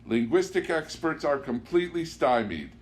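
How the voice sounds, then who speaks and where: A man's voice: fairly high pitch, very animated, normal speed, pitched above the performer's own voice. A man voicing an eccentric, grandly poetic man in an audiobook, declaiming and drawing out key words. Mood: mysterious, declaiming. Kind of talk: audiobook character dialogue. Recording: clear background noise with hum.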